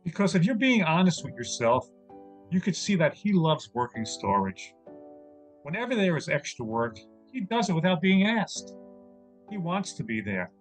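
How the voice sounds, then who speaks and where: Man voicing an aging, weary mouse, lively higher pitch. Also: moderate pace, soft background music. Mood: encouraging. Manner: calm, fatherly, earnest. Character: aging, weary, gentle male mouse, wise mentor. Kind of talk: audio drama acting.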